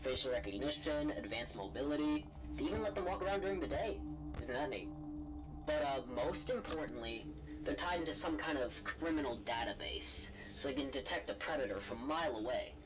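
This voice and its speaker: A man's voice: mid-range voice, expressive, a little fast, lightly acted. A man playing an affable but evasive company phone guide in a horror game, chatty, hesitant and falsely reassuring. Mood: upbeat, reassuring. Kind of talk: recorded orientation call, game acting. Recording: dull, noisy phone message sound.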